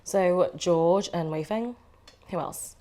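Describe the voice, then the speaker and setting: A woman's voice: fairly low pitch, takes it slowly, warm tone. An actress playing a sardonic, teasing, probing woman in a bickering romantic couple, in naturalistic stage drama dialogue. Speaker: actor. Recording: clear ambient background noise.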